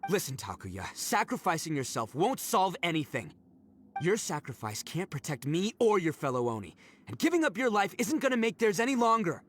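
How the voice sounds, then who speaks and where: Loud cocky oni dude, swaggers and teases, voice swings all over, bit of game music. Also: male voice, high voice, a little hiss or hum. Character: brash, boisterous young male oni leader. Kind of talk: video game voice acting.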